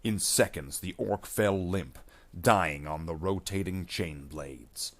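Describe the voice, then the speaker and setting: A man reading a scripted action story aloud in a measured, dramatic way as its narrator. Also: low voice.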